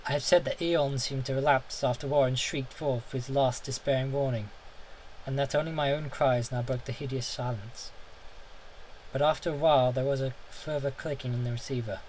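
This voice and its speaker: A man's voice: medium pitch, fairly even intonation, moderate pace, a little mellow, a little hiss or hum, rough recording. A man narrating a horror story in the first person, intense and theatrical, now and then stumbling over a word. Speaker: audiobook reader.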